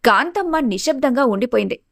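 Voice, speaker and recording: Female storyteller, higher-pitched voice, scripted narration, very dry close sound. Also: expressive, fast, creaky, fairly bright tone, dry recording.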